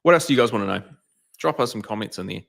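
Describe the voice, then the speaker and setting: Chatty New Zealand man, low voice. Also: lively intonation, racing, creaky, fairly bright tone. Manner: chatty, reads out live comments. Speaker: detailing channel co-host. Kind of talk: casual livestream chat.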